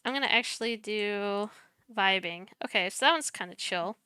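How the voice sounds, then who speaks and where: A young woman talks through a streaming tutorial on a headset, soft-spoken and chatty, muttering to herself as she clicks. Her voice is bright and very clear, and she takes her time. The sound is close and dry, with no room around it. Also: mid-range voice, moderate pace, dry recording. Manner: chatty, self-deprecating, mutters while clicking. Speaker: streamer teaching streaming setup. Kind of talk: casual screen-share tutorial.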